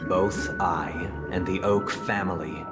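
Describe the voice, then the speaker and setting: A man voicing a solemn, eloquent young leader in a video game, speaking in formal, measured oratory. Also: low voice, fairly even intonation. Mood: formal, composed. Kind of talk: dramatic video game dialogue. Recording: loud music under the voice. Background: game music.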